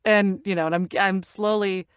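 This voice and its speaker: A woman's voice: mid-range voice, normal speed, clear, resonant tone, no echo, studio quality. A woman in her forties, an actor and narrator, chatting openly in an interview and laughing often. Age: 40s. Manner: laughs often, animated and open. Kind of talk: casual interview chat.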